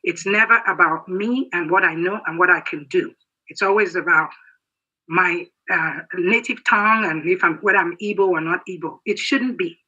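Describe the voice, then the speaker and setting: Earnest man in his sixties, bright. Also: high-pitched, lo-fi sound. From Nigeria. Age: 60s. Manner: earnest, emphatic, halting at times. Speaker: guest speaker. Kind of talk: prepared speech.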